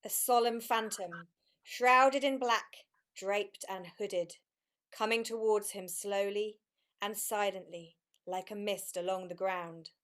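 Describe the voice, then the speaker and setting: Female narrator: clear, smooth, mid pitch, slow and dramatic. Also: fairly even intonation, a bit bright.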